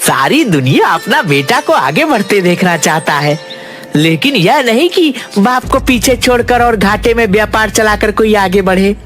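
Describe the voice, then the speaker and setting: Man voicing a high-pitched, brusque, greedy sweet-seller father. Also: animated, normal speed, fairly bright tone, faint music behind. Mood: exasperated. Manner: brusque, argumentative. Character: greedy stubborn male village sweet-seller, gruff father. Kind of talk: Hindi cartoon moral-story voice acting.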